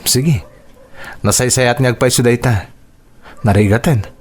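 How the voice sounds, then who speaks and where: Man acting earnest young provincial husband, mid-pitched. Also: unhurried, natural voice. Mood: concerned. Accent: Filipino. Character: caring young provincial husband, tired from job hunting. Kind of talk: radio drama acting.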